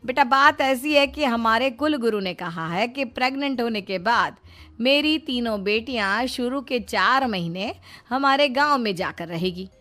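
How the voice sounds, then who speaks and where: A woman's voice: a little high, expressive, measured pace, pushed and bright, pitched above the performer's own voice. A woman voicing a shrewd middle-aged mother-in-law in a story, coaxing, motherly and firm. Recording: music behind the voice.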